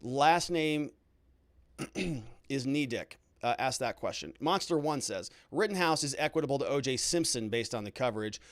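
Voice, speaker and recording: A man's voice: mid-range voice, expressive, moderate pace, dry recording. A middle-aged American man hosting a livestream, reading out viewer comments and adding wry, conversational commentary. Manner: wry, conversational, joking asides. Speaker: livestream host and legal commentator.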